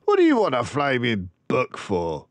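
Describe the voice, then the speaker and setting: An irritable, dismissive father from a children's story, acted out by a male reader. He sounds exasperated and scornful, with a very animated rise and fall, in a dry recording. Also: mid-range voice, normal speed, no echo, pitched above the performer's own voice.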